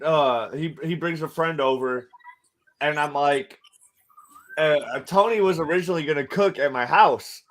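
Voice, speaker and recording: A man's voice: fairly high pitch, rough recording. A man with a Midwestern accent from Illinois, telling a story in casual podcast chat, rambling and full of fillers. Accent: Midwestern American.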